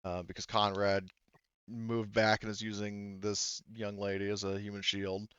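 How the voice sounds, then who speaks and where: A man's voice: on the low side, completely flat delivery, unhurried, a bit bright, clean tone, no echo, highs cut off. A man running a tabletop game as game master, narrating casually and thinking aloud in a hesitant way.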